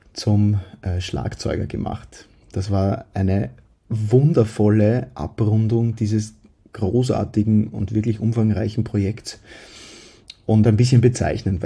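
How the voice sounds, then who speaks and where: A man speaking as a choir director, giving a prepared account of his work in a measured, reflective way. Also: lowish voice, mellow, husky. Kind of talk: prepared spoken self-introduction.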